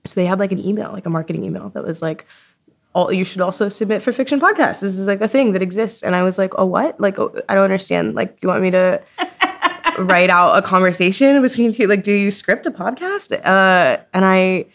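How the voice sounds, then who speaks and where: A woman's voice: medium pitch, brisk. A woman in her twenties chatting casually as an interview guest, telling stories informally and acting out her own past remarks. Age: 20s. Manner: informal, animated self-quoting, frequent "like". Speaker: podcast creator being interviewed. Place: recording studio at a festival.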